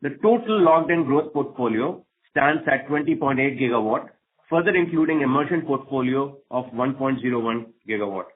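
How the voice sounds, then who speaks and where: A man delivers a scripted corporate results update in a formal, read-out manner. His mid-pitched voice comes through a narrow, tinny phone line. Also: brisk, a little hiss or hum. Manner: formal, reading prepared remarks. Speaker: company executive presenting quarterly results. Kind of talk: scripted earnings call presentation. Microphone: conference call phone line.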